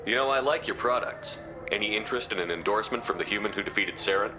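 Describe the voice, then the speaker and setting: A confident military commander played by a man in a sci-fi game, with a lowish voice. He talks briskly and directly, dry and casual, with music clearly behind him. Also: close to an everyday voice, poor audio. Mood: confident, persuasive. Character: confident, wry military commander, adult male hero. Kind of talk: video game dialogue.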